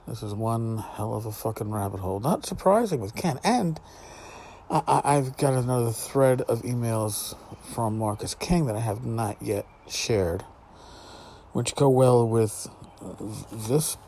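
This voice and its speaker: A man's voice: fairly low pitch, mellow, a little hiss or hum. A man hosting a casual solo podcast from a back porch outdoors, talking in a blunt, wry, salty way. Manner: blunt, profane, wry commentary. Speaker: podcast host.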